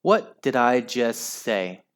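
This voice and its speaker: A stern, strict schoolteacher who snaps at her class, read by a man in a children's storybook. The delivery is slow and clipped. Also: mid-range voice, sing-song, clean tone. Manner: snapping, stern.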